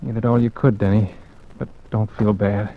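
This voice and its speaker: A man's voice: lowish voice, little pitch movement, moderate pace, slight background noise, natural voice. An actor playing a scared, bewildered young man with hesitant, shaken delivery, in 1940s radio acting. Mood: resigned. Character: young male suspect Tom, scared and bewildered. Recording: muffled old radio broadcast.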